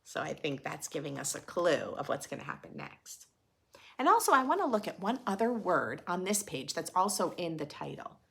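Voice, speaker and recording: A woman's voice: on the low side, vocal fry. A woman hosting a read-aloud for preschoolers, expressively reading a picture book and adding simple teaching questions and explanations in a dramatic way.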